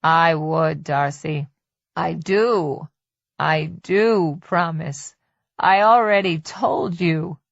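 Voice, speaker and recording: Lady narrator doing a meek middle-aged husband, pitched down, slow and earnest, audiobook. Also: vocal fry, no room sound, lo-fi sound, heavily acted. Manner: earnest, placating.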